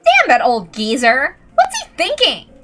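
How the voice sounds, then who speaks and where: A woman's voice: high voice, wildly swooping pitch, measured pace, noisy background, pitched above the performer's own voice, heavily acted. A woman voicing a brash, hot-tempered teenager in a visual novel, blunt, slangy and exclaiming. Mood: indignant.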